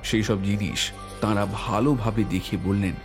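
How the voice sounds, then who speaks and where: A man's voice: fairly low pitch, steady tone, moderate pace. Man narrating a detective story over music. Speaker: narrator and police inspector character. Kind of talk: dramatic scripted story narration. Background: background music.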